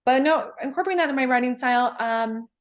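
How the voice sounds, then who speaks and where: A woman poet talking in a casual interview, thoughtful and halting, with many fillers and restarts. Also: high-pitched. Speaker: guest poet.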